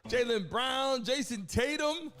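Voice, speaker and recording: A man's voice: very high-pitched, slow. A man hosting a live stream, chatting casually to his viewers in hyped, salty slang and repeating himself. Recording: loud music behind the voice. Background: loud music and game broadcast audio.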